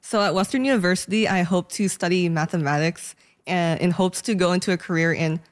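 A young woman just out of high school, speaking softly with light fillers as a radio interview guest. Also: brisk. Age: young adult. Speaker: scholarship-winning high school graduate.

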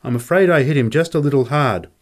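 A man voicing a courteous, soft-spoken man in a story reading, formal and deferential in his manner. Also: medium pitch, quick pace, voice pushed up, natural voice. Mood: courteous, calm. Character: courteous, soft-spoken male companion of a prizefighter.

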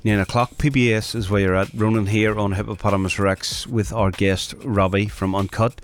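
A man's voice: on the low side, steady tone, moderate pace. A man hosting a radio music show, chatting casually and laughing easily. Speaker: radio host.

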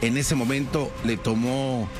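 A man's voice: mid-range voice, fairly even intonation, a little slow, music in the background. A man telling a true crime story in a casual, conversational way, with many fillers and tag questions.

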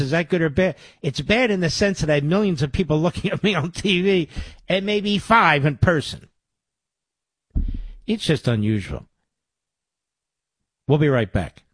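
A male talk radio host with a husky, slightly breathy voice, recorded dry and close. He argues emphatically and doubles up his phrases for stress. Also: on the high side, dry recording.

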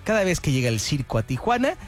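A man's voice: on the high side, very animated, quick pace, soft background music. A middle-aged man, hosting casual morning radio banter in a jokey, playful way. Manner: jokey, playful, shouts in mock announcer bursts. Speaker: radio host.

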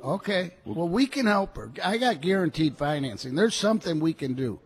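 A hoarse man selling cars on a radio show, talking in a salesy, reassuring way. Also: fairly high pitch, very animated, normal speed. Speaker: car dealer guest.

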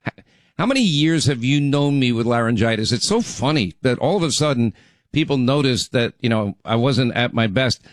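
A man's voice: medium pitch, expressive, moderate pace, no room sound. A middle-aged man hosting talk radio, chatty and rambling, with frequent restarts. His voice is still getting over laryngitis. He is recorded dry and close in the studio. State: recovering from laryngitis. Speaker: radio talk show host. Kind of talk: casual talk radio monologue.

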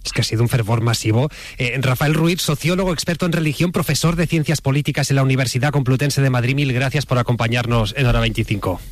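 A man's voice: mid-range voice, quick pace, no room sound, studio quality. A man with an accent from Spain hosting a conversational radio show in a chatty, inquisitive way. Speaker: radio host. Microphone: close studio microphone.

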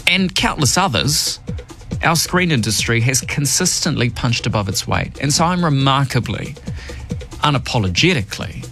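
Opinionated New Zealand radio host. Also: male voice, medium pitch, fairly bright tone. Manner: emphatic, opinionated, varied delivery. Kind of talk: opinion commentary and news reading.

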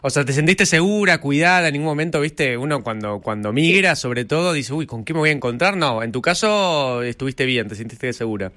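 A man's voice: fairly high pitch, lively intonation, a little fast, hardly any pauses, bright, forceful tone. A man with an Argentine accent hosting a casual radio interview, chatty and upbeat, asking follow-up questions. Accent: Argentine Spanish. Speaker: radio host.

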